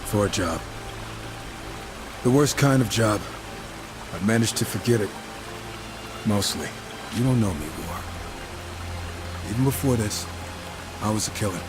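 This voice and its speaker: A man's voice: lowish voice, lively intonation, measured pace, pauses often. A man playing a cynical, wisecracking horseman and reformed killer in a video game, talking in a sardonic, informal way. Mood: brooding. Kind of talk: game cutscene dialogue. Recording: music behind the voice.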